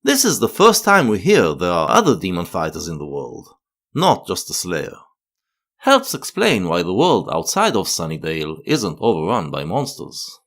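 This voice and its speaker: Male essay narrator, lowish voice, highly varied intonation, explanatory, measured phrasing. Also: wildly swooping pitch, fairly bright tone. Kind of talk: scripted analytical narration.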